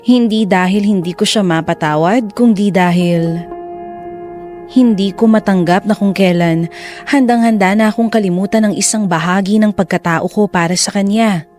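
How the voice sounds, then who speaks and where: Actress as wounded reflective narrator, mid-pitched, measured, sorrowful, first-person radio drama storytelling, faint music. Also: female voice, a little mellow, close to an everyday voice.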